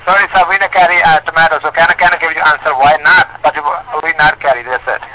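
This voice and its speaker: A man's voice: a little high, fairly even intonation, fast talker, bright, forceful tone, a little hiss or hum, rough recording. Polite man with a non-native English accent. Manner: polite, repetitive. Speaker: pizza delivery driver taking calls. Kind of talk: customer service phone call.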